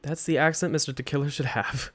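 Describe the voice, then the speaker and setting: A man's voice: a little high, fairly even intonation, brisk, fairly bright tone. A man streaming a game with casual banter, self-mocking and sweary, often breaking into giggles. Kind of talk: let's play with casual banter and read-aloud character voices. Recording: music behind the voice. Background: game music.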